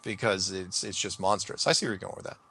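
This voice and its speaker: A man's voice: low voice, fast talker, pushed and bright, husky. A man hosting a casual radio interview, talking in a joking, affirming way. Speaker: radio show host.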